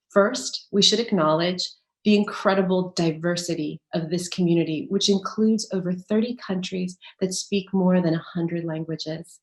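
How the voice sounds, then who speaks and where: A woman's voice: mid-range voice, reverberant, poor audio. A woman moderating an online panel, reading a prepared introduction and then welcoming guests. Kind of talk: moderating a video-call panel.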